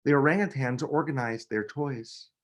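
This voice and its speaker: A man, a children's book author, reading a picture book aloud with expressive, dramatic emphasis. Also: mid-range voice, moderate pace. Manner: expressive, dramatic delivery with emphasis on key words.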